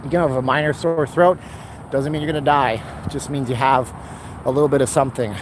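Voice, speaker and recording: A man chatting casually as he walks and live streams on his phone, rambling and answering chat comments, with a dry throat he keeps clearing. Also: mid-range voice, steady tone, quick pace. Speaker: vlogger live streaming a city walk. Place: outdoors by a busy boulevard. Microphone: handheld phone. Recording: outdoor phone recording with traffic noise. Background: passing cars and street traffic.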